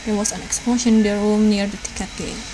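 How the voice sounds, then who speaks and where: A woman's voice: medium pitch, steady tone, quick pace. Female let's player, middle register, reads visual novel script with casual asides, noisy home recording. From Indonesia. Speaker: let's play streamer reading dialogue aloud. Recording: clear background noise, raw home recording.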